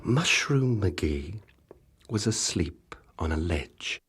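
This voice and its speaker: A man narrating a children's picture book in rhyme, with dramatic, measured, expressive storytelling. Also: low-pitched. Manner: dramatic, measured storytelling with rhythmic rhyming delivery. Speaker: audiobook narrator. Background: music.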